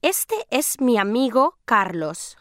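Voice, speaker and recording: A woman's voice: fairly high pitch, very animated, takes it slowly, fairly bright tone, dry recording, clean studio sound, heavily acted. A woman playing a friendly young woman in a scripted language-lesson dialogue, speaking carefully. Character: friendly young woman from Madrid, aged nineteen.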